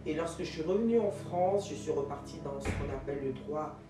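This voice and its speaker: Animated woman guest, echoey studio. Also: low-pitched, brisk, recorded from a distance, music in the background. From Ivory Coast. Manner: animated storyteller with many fillers and restarts. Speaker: guest, jurist and teacher. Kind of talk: interview answers.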